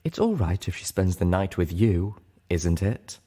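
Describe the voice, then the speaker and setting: A man's voice: low-pitched, big pitch swings, a little slow, warm tone, husky, full character voice. A man voicing an elegant, teasing female unicorn singer in an audiobook, with a coy, theatrical, purring delivery. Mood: sly, purring.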